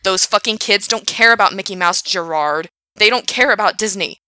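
A woman voicing an angry young male park worker who rants loudly and swears. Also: a little high, normal speed, gravelly, no room sound, heavily acted. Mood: furious. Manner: loud, swearing, ranting.

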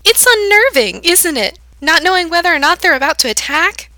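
A manic girl with a sharp, sweet, high-pitched voice teases with gleeful menace. The voice is bright and piercing. It is recorded close and dry. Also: very animated, moderate pace, no echo, full character voice. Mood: taunting.

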